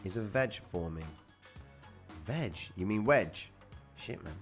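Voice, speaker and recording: Young male livestream host, very low voice, slangy and relaxed, faint music behind. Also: slow pace. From Cyprus. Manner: laid-back, slangy, answering chat. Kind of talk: casual livestream chat reading viewer comments.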